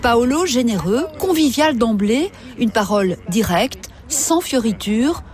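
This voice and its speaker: A woman reporter narrating a documentary in a clear, measured way. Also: fairly high pitch, expressive, noisy background.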